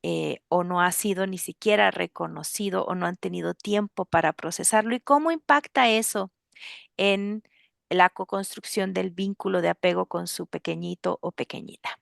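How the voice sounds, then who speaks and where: A woman hosting an interview, earnest and pausing often with fillers. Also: on the low side, vocal fry, no echo, studio quality. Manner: earnest, with many filler pauses. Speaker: host.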